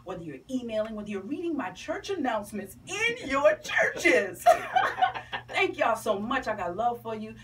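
A woman's voice: fairly high pitch, wildly swooping pitch, unhurried. A middle-aged woman, chatting enthusiastically and emphatically as a guest in a promotional radio interview. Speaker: interview guest, entertainer and stage play producer. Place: small radio studio, camera across room. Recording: distant mic, noisy room ambience.

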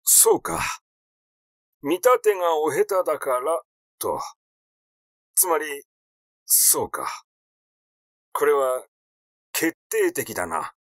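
A man's voice: a little high, very animated, takes it slowly, long pauses, dry recording, clean studio sound, heavily acted. A man voicing a flustered, easily irritated male doctor in a story reading, hesitant, rambling and grumbling. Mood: dazed realisation.

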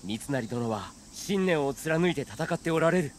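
An actor playing an earnest, courteous young samurai warrior in a game, with solemn, formal speech. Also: male voice, a little high, expressive, unhurried, slight background noise. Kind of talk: Japanese samurai game cutscene acting.

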